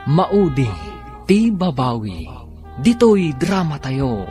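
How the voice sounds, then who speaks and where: A male announcer narrates a radio drama with dramatic emphasis and an Ilocano accent. His mid-pitched voice moves through a lively range, and music plays clearly behind him. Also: takes it slowly.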